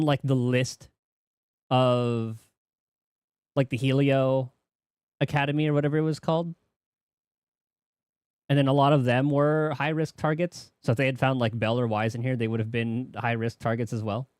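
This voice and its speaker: A man's voice: mid-range voice, fairly even intonation, moderate pace, long pauses, darkish tone, smooth, dry recording. A man giving casual reaction commentary on a game as a streamer, talking into a close mic.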